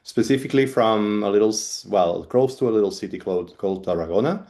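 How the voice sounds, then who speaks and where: Spanish-accented guy giving a webinar talk over a call, lowish voice, lots of ums. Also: fast, a little mellow. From Spain, Catalonia. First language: Catalan. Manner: hesitant, with frequent ums and restarts. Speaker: guest speaker, evolutionary biologist. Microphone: video call.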